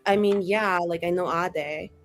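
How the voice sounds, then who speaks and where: A woman's voice: on the low side, steady tone, a little slow, soft background music, voice pushed down. A woman playing a cheeky, catty young woman in a tabletop game, with casual, sarcastic delivery. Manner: casual, filler-laden, sarcastic. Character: cheeky, catty young woman, falsely sweet, needling a rival.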